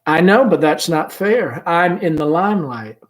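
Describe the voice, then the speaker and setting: A man playing a confident, gently humorous young gentleman in a play, speaking in a hearty, breezy manner. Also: on the high side, expressive, a little slow, pitched above the performer's own voice. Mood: breezy, friendly. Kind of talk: play read-aloud.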